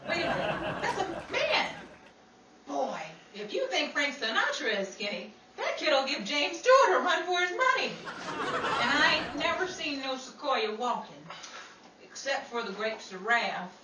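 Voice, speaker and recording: A woman's voice: sits high, big pitch swings, unhurried. A woman playing a sassy, wisecracking 1930s New York actress, theatrical and wordy, in a screwball radio comedy style. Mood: amazed, wisecracking. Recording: echoey, distant, noisy live room with crowd.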